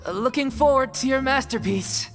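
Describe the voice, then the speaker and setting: A woman's voice: high-pitched, moderate pace, faint music behind. A woman playing a casual, easygoing young troupe member in a video game. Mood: casual, coaxing.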